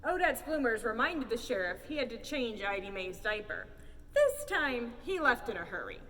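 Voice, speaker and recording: High, lively woman reading a storybook. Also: moderate pace. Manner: theatrical, expressive. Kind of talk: animated children's picture book read-aloud. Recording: roomy hall, distant mic, faint noise.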